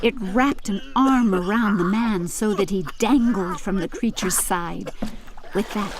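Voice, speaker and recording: Slow, measured woman narrating a storybook. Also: medium pitch, crowd noise. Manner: measured, deliberate, literary delivery. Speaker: audiobook narrator.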